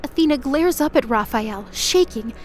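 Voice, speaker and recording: A woman's voice: high-pitched, animated, normal speed. A woman reading scripted, dramatic fiction as the narrator of an audio drama. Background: music.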